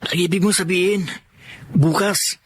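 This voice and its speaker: Higher-pitched, guarded young Filipino brother. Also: male voice, fairly even intonation, a little slow, slightly rough. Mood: curious, troubled. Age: young adult. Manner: curt, guarded. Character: sullen, resentful young son and younger brother. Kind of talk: Filipino radio drama acting.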